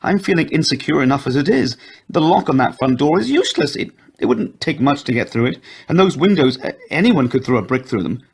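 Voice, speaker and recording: A man voicing an anxious, distraught young wife who pleads in a shrill, frantic way. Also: wildly swooping pitch, moderate pace, breathy edge, exaggerated voice.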